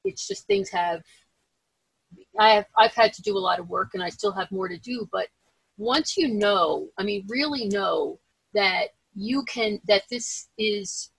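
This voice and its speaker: Female, gravelly fry, husky, close dry sound, interview host, reflective, halting phrasing. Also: medium pitch, sing-song, a bit bright, dry recording, poor audio. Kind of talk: casual reflective conversation.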